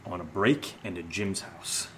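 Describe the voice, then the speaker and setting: Low male voice, sardonic and shaken, halting delivery, horror audio drama, faint background noise. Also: bright, forceful tone. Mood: earnest, determined. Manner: hesitant, halting, sarcastic. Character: sardonic, shaken man named Steve, joking through fear.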